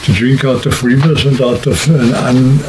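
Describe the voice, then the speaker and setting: A man talking casually and unscripted to camera, explaining things in a rambling, halting way like a vlogger. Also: mid-range voice, noisy background. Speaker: hiking vlogger and trip organizer.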